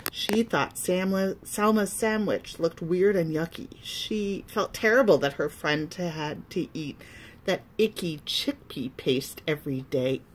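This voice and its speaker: Slow-reading woman with a lowish voice. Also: slight background noise, low-quality recording. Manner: expressive, deliberate, pausing between phrases. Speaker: pastor reading a children's storybook. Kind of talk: storybook read-aloud with asides.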